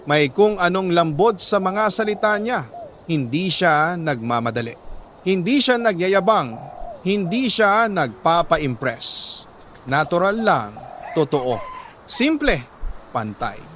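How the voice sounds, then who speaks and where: A man reading a listener's love letter as a radio storyteller, in sentimental dramatic narration with deliberate pauses. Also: sits high, big pitch swings, a bit bright, slight background noise.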